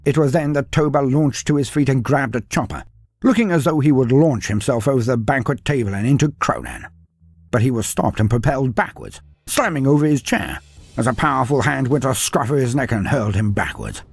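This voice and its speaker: A man narrating a scripted fantasy tale in an animated way, dropping in wry asides. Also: medium pitch, a little fast, gravelly, husky, no room sound, soft background music. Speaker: storyteller.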